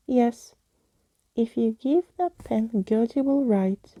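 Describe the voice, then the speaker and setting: A woman's voice: on the high side, slow, dark tone, dry recording, pitched above the performer's own voice. A woman voicing a needy, childlike female ghost creature, plaintive and trembling, who talks of herself in the third person. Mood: insistent.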